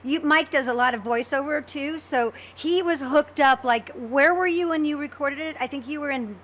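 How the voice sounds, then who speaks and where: A woman co-hosting a casual podcast interview, excitable and gushing, often repeating herself. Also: high voice, moderate pace. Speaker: podcast co-host.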